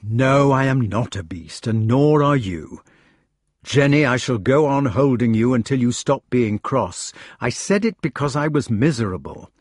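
Storybook knight, ardent pleading lover played by a man: husky, highly animated, miserable and impassioned. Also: unhurried, no room sound, clean studio sound. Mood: insistent, apologetic. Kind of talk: audiobook character dialogue.

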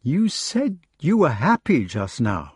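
Man voicing a reproving noblewoman, pitched up. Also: sing-song, unhurried, husky, no echo, clean studio sound, exaggerated voice. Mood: plaintive, weary. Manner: firm, reproving, plaintive. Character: sensible, sharp-tempered noblewoman scolding her lover, no longer young. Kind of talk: audiobook character dialogue.

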